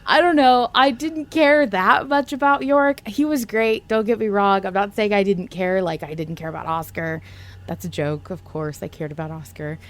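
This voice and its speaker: A woman's voice: medium pitch, steady tone, normal speed. A woman co-hosting a podcast, chatting in an informal, enthusiastic way and swearing freely. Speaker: podcast co-host. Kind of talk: casual podcast chat about an audio drama.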